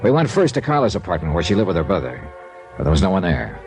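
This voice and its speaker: A man's voice: low-pitched, very animated, quick pace, creaky, darkish tone, soft background music, heavily acted. An actor playing a blunt, hard-boiled male insurance investigator in an old radio drama, pressing questions and narrating. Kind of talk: 1950s radio drama acting.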